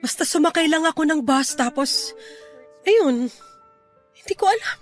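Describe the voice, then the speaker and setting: A sorrowful lover torn between two men in a Filipino radio drama. Her very high voice is hesitant, tearful and pleading, with music behind it. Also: animated, a little slow. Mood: sad, confused.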